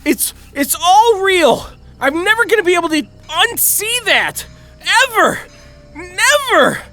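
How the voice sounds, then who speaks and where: A man acts out a terrified man in a horror audio drama, babbling and whining in a very high, slow voice. He stammers as his pitch swings widely, with music playing behind him. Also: sing-song, bright, forceful tone, heavily acted. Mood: shaken. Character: panicky, terrified adult farm visitor, a man.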